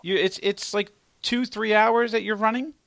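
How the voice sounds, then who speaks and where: A male podcast host who jokes loudly and swears while introducing guests. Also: high voice, fairly even intonation, moderate pace, creaky, dry recording, clean studio sound. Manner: loud, joking, swears. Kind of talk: casual podcast chat.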